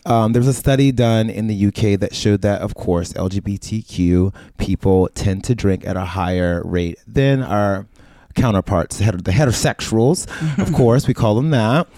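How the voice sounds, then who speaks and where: A man hosting a casual podcast conversation, chatty and animated, with playful asides. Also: on the low side, moderate pace, no echo. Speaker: podcast host.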